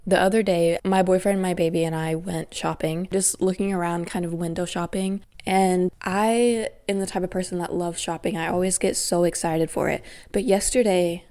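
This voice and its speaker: A woman's voice: on the low side, steady tone, normal speed, few pauses, mellow. A young woman hosting her podcast alone, talking in an earnest, grateful, reflective way while stuffy and congested from a cold. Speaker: podcast host. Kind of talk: casual solo podcast talk.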